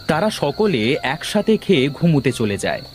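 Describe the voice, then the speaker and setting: A man with a fairly high, lively voice narrates a children's fairy tale from a script. Faint music plays behind him. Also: normal speed.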